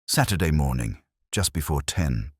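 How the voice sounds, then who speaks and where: A man voicing a lively, composed nineteen-year-old female florist's assistant in a mystery story, casual and matter-of-fact. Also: a bass voice, moderate pace, husky, dry recording, clean studio sound, exaggerated voice.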